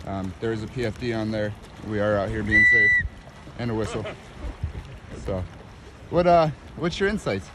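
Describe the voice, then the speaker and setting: A man's voice: on the low side, moderate pace, pauses often. A man casually interviewing others as a vlog host, speaking from behind the camera. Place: outdoors on a lake in a kayak. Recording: windy outdoor recording with water noise.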